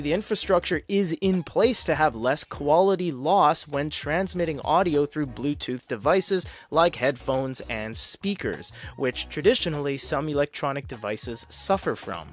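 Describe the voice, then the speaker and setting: A man narrating a casual tech review, explaining things in an informal, emphatic way. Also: a little high. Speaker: product reviewer. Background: background music.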